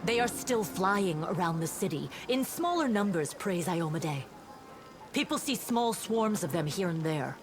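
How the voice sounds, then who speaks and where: An actress playing an earnest, dutiful female paladin officer in a fantasy game, speaking in a formal, measured reporting manner. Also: on the low side, expressive. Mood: grave, reproachful. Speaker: game character reporting to a commander. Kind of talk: voice-acted fantasy game dialogue. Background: music.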